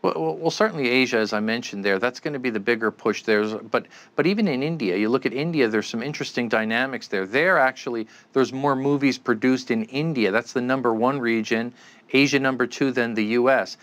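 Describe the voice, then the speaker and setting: A man's voice: mid-range voice, fairly quick, fairly bright tone. A man giving unscripted expert answers in an interview, explaining things with frequent stammered repeats. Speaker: expert guest, commentator.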